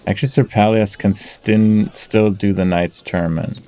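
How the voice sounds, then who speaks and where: A man giving casual commentary as he plays a game, musing aloud with pauses mid-sentence. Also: fairly low pitch, steady tone, a little mellow, a little hiss or hum. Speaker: gamer, let's play host. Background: game music.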